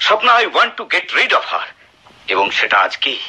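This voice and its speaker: An actor playing a cruel, contemptuous husband with a violent temper in a radio drama, with sneering taunts and sharp commands. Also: male voice, a little high, wildly swooping pitch, a little slow, slightly rough, full character voice. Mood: impatient, hard. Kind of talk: Bengali radio drama acting. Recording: dull lo-fi radio drama recording.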